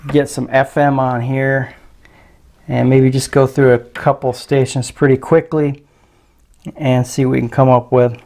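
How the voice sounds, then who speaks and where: A man's voice: mid-range voice, level tone, measured pace, a little mellow. A man giving a casual, unscripted product review in a hesitant, tentative way, often trailing off.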